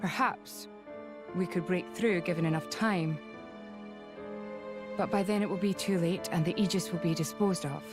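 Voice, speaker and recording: A woman playing a stern, composed military noblewoman in a game, speaking in a measured, formal way. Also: lowish voice, over music, close to an everyday voice. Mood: grim, firm. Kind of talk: voiced game cutscene acting.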